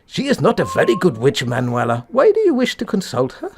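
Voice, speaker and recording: A man's voice: fairly high pitch, huge pitch swings, brisk, darkish tone, slight background noise. A man playing a nosy, tactless, gossiping villager in a comic radio play. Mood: inquisitive.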